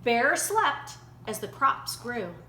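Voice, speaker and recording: A woman storyteller reading a children's picture book aloud in an animated way. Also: a little high, slow pace. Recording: roomy echo, faint background noise.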